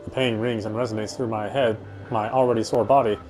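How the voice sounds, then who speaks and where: A man's voice: lowish voice, steady tone, brisk, a little mellow, soft background music, rough recording. A man reading a horror visual novel aloud as first-person narrator, with a dramatic, tense delivery.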